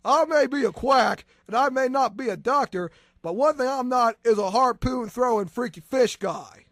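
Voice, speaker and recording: A man's voice: very high voice, normal speed, a bit bright, no room sound, clean studio sound. A man playing a folksy, scolding television therapist in a comedy parody. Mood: mock-counseling.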